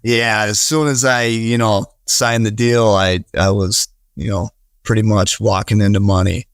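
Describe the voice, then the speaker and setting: A man in his thirties with a Wisconsin accent, speaking as an earnest podcast guest. His voice sits fairly low, and he rambles, restarting sentences and filling gaps with "you know." The sound is close and dry, with no room echo. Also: flat delivery, measured pace, clear, resonant tone. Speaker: podcast guest, real estate investor. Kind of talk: casual conversational interview.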